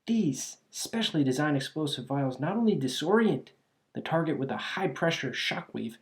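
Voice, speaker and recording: A voice actor playing a clumsy, cheerfully eccentric alchemist shopkeeper in an ASMR roleplay, chatty and absent-minded, halting and trailing off. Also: mid-range voice, animated, natural voice. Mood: proud, excitable. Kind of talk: ASMR roleplay, scripted character acting.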